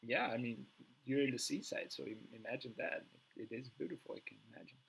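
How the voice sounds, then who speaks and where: A man's voice: medium pitch, fairly quick, vocal fry, a bit bright, slight background noise, low-quality recording. A man hosting a live online session, asking questions with lots of restarts and fillers. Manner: hesitant with many restarts and fillers. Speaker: host. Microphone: video call.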